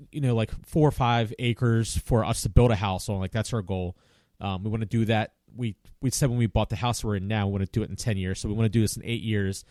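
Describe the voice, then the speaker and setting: A man's voice: on the low side, darkish tone, no echo, clean studio sound. A man in his late thirties hosting a casual chat, talking in a rambling, easygoing way and laughing often. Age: 30s. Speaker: podcast host.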